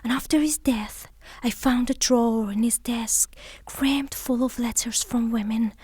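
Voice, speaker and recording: An actress playing a proud, passionate young widow in a theatrical audio drama, haughty and dramatic in manner. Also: female voice, on the high side, steady tone, a little slow, a little mellow, slightly rough, no room sound. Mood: wounded, passionate.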